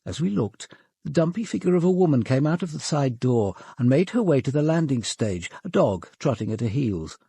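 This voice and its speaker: Husky, measured British police detective. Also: male voice, mid-range voice, big pitch swings, mellow, no echo, heavily acted. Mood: reflective. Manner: measured, formal, deliberate. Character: measured, probing senior male police detective, reflective and authoritative. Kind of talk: British radio drama acting and first-person narration.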